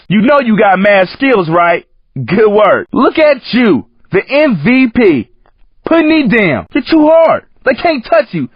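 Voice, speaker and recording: An actor playing a cocky, hip street-racing coach in a video game, with an American accent and a slangy, teasing, streetwise way of talking. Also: male voice, sits high, husky, dry recording, heavily acted. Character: cocky, hip male San Francisco street-racing coach, joking and hyping. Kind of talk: video game character commentary. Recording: muffled lo-fi game audio, dry.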